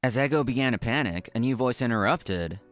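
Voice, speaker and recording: A man's voice: mid-range voice, lively intonation, brisk, close to an everyday voice. A man playing an earnest, polite office worker who narrates his own story in a comic dub. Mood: narrating. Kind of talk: scripted first-person narration, comic dub.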